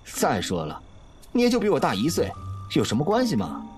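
Animated, teasing young male student played by a man. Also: on the high side, brisk, soft background music. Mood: coaxing. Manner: coaxing, teasing. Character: sly, teasing male college student, playfully wounded suitor. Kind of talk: Chinese audio drama acting.

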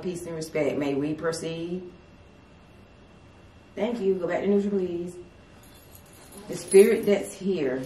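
Calm low-voiced woman questioning spirits. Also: a little hiss or hum. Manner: slow, calm, polite questioning. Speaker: paranormal investigator. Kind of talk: spirit communication session. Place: dim salon at night. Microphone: far camera. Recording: echoey room from far off.

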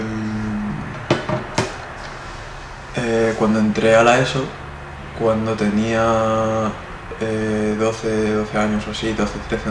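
A man in his twenties with a Spanish accent, answering interview questions in a rambling way with many fillers. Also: fairly low pitch. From Spain. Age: 20s. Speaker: interviewee, artist. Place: indoor room. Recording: echoey room, noisy.

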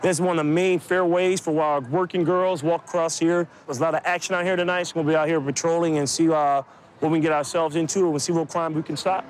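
Brief: male patrol cop, quick and pointed, loud traffic behind him. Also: a little high, fairly even intonation. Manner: brisk, pointed questioning. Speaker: police officer. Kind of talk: on-patrol commentary and questioning. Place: busy city street at night, outdoors. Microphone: camera mic from a crew filming nearby. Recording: loud street noise in the background.

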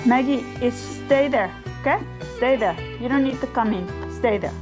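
A woman's voice: on the high side, normal speed, over music. A woman vlogging casually in a chatty, teasing way, recorded on a handheld camera outdoors on a building site. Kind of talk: casual vlog narration and chat. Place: outdoor building site under a raised house. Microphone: handheld camera, held by the speaker.

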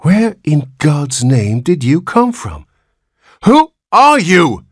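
A man's voice: on the high side, wildly swooping pitch, takes it slowly, husky, no room sound, clean studio sound, voice pushed up, heavily acted. A man voicing a frantic, horrified new father in a storybook, agitated and incredulous. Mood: frantic anger.

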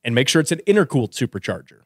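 Male host, twenties, conversational interviewer with lively intonation, medium pitch, studio mic. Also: very fast, no room sound. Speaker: podcast host. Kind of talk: casual interview chat. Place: small garage-styled podcast studio. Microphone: close studio mic on boom arm, headset.

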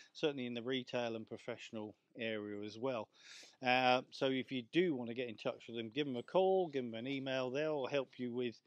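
A man's voice: mid-range voice, no room sound. A middle-aged Englishman with a chatty, rambling manner, presenting lawn care outdoors in a large garden on a lapel mic. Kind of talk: casual outdoor demonstration. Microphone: clip-on lapel mic.

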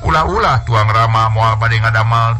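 A male storyteller voicing a tender, tearful aunt who pleads softly with her niece. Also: medium pitch, normal speed, faint music behind, close to an everyday voice. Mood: tearful pleading.

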